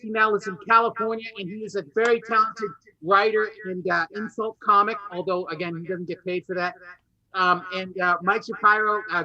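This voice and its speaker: Male game show host, high-pitched and very bright, forceful delivery, lively and conversational. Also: muffled recording. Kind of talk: lively quiz show hosting, partly improvised.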